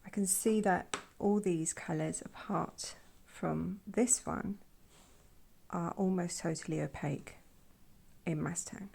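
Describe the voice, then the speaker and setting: Croaky, soft-spoken woman painting. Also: lowish voice, fairly even intonation, slow. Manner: quiet, half-whispered musing while painting. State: croaky voice from a sore throat. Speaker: art channel host.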